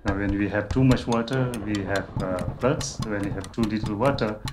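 A man from Bhutan speaks in a measured, earnest documentary interview. His voice sits a little low, and steady music runs clearly beneath it. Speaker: climate expert interviewee. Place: dark studio. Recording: music bed under voice. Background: background music.

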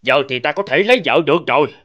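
A man's voice: fairly high pitch, lively intonation, quick pace, muffled recording, natural voice. A man voicing a proud, lovestruck and gullible lion in a cartoon fable, boastful and demanding. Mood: eager, confident.

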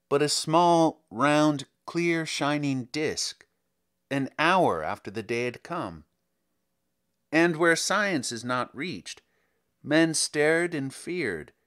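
A man reads a story aloud as a dramatic narrator. His delivery is slow and deliberate, with wide, lively swings of pitch. The voice is bright and forceful, and he lingers on phrases for theatrical weight. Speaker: narrator reading a short story. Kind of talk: dramatic audiobook narration of literary fiction.

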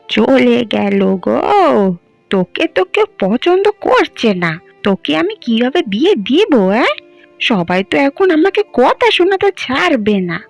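A middle-aged village woman scolds and complains in a cartoon, voiced by a woman. The voice is high and gravelly with big swings. Music plays quietly behind. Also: no echo, full character voice.

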